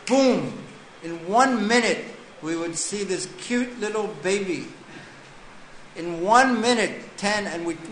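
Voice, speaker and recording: A man with a slightly high voice gives a scripture lecture at an unhurried, deliberate pace. He reads a line of text and then explains it to the listeners. The sound is echoey, with faint ambient noise behind him. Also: fairly bright tone. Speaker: scripture class teacher.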